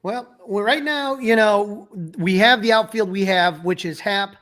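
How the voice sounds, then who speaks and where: A man with a notably high-pitched voice talks sports as a podcast co-host. His delivery is casual and conversational, and he states his opinions with emphasis. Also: measured pace, fairly bright tone. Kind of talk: casual sports talk and analysis.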